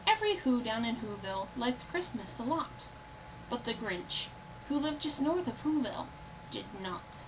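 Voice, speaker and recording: A woman's voice: on the high side, big pitch swings, moderate pace, dull audio. A young adult woman talking informally and playfully, as in a homemade video chat or storybook read-aloud. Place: indoors, recording on a personal computer. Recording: noisy home computer recording.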